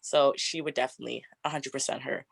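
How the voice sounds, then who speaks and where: Deep raspy young woman, chatty. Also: fairly even intonation, fast, no echo. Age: 20s. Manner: chatty, frequent "like" fillers, laughs often. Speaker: interview guest. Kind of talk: casual get-to-know-me interview.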